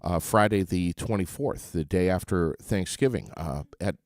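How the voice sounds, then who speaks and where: A man's voice: low-pitched, moderate pace, a little mellow, dry recording, studio quality. A man hosting a casual morning radio show, upbeat and chatty with lots of fillers.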